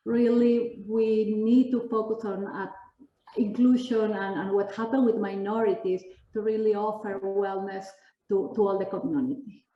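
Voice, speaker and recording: A woman's voice: medium pitch, warm tone, slight background noise. A middle-aged woman with a Peruvian Spanish accent, answering interview questions conversationally as a guest. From Peru. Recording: roomy, distant, dull lo-fi sound.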